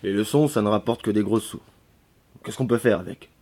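A man's voice: lowish voice, a little fast, a little mellow, lightly acted. A man voicing a sullen, penniless young male lodger in a novel reading.

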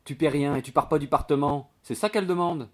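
A man's voice: medium pitch, fairly quick, darkish tone, voice pushed up, lightly acted. A man voicing a gossipy, earthy, blunt servant woman from the country, with slangy grammar, in a novel reading.

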